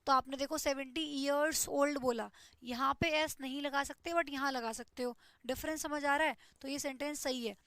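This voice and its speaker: A woman's voice: high-pitched, steady tone, moderate pace, hardly any pauses, a bit bright, no room sound. A woman teaches an online English grammar class, explaining step by step and checking in with "ठीक है". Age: 20s.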